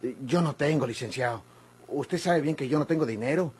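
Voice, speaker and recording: A man's voice: mid-range voice, brisk, darkish tone, breathy edge, close to an everyday voice. A man with a Mexican accent playing a servile, pleading prisoner in a vintage radio drama, obsequious and slippery. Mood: humble, wheedling. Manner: obsequious, pleading, stammering when agitated.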